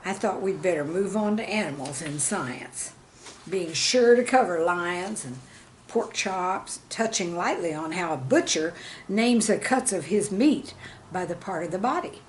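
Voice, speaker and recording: A woman reading scripted stories and verse as a channel host, with a measured, deliberate delivery. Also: lowish voice, expressive, a little hiss or hum. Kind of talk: scripted storytelling and poem reading.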